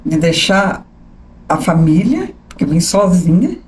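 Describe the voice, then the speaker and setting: A woman in her sixties with a São Paulo accent, a journalist telling her life story as a podcast guest, animated and often asking "né?". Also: fairly low pitch, unhurried, a little hiss or hum. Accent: São Paulo capital Portuguese. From São Paulo state. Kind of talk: conversational interview storytelling.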